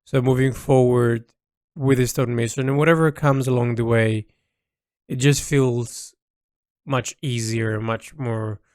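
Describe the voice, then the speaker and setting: A man's voice: medium pitch, fairly even intonation, measured pace, a little mellow. A man talks through a personal audio log in an informal, reflective way. His delivery is hesitant, as if working out each thought aloud, with phrases that trail off. The sound is close and dry, with very little room echo.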